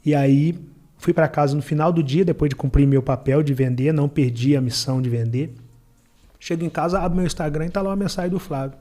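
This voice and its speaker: A man's voice: a little high, fairly quick, mellow. A Brazilian man telling a personal story in colloquial, emphatic conversation, acting out the dialogue as he goes. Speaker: podcast guest, entrepreneur and former beach water vendor.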